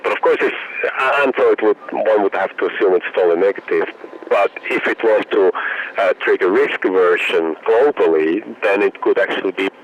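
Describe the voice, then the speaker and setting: Deep-voiced halting analyst on phone. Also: male voice, slight background noise. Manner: hesitant, halting, repeating words as he thinks. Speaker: bank market analyst, interview guest. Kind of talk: unscripted expert commentary. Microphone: telephone. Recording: dull, lo-fi phone line.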